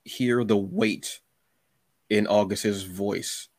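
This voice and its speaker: A man with a slightly low voice hosts a casual interview in a very dry-sounding setting. He talks conversationally, restarting phrases and slipping into little acted-out asides. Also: fairly even intonation, drawn-out words, darkish tone, dry recording. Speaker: podcast host.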